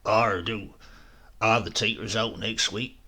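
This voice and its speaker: A man's voice: lowish voice, fairly even intonation, takes it slowly, bright, forceful tone, heavily acted. A man voicing a rebellious, ailing old great-uncle in a storybook, grumbling and terse. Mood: sly, hearty. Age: elderly.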